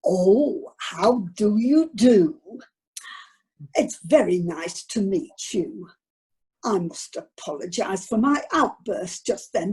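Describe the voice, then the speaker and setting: A woman playing a fussy, chatty retired wife with a northern English accent and a husky voice in an amateur radio comedy. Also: on the high side, a little mellow, exaggerated voice. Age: older. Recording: online video meeting audio.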